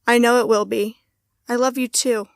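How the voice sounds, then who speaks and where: A woman playing an affectionate young wife and mother in an audio drama, earnest and steady. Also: fairly high pitch, normal speed, creaky, dry recording, studio quality. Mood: calmer, affectionate. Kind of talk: hobby audio drama acting.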